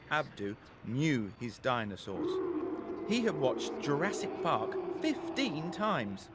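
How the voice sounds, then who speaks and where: A man's voice: medium pitch, background noise. A man narrating a children's story dramatically from a script.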